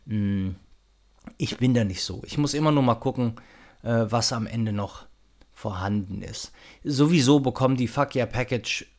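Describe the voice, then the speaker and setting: A man hosting a podcast, making a casual unscripted announcement in an informal, chatty, somewhat hesitant and rambling way. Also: lowish voice. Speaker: podcast host.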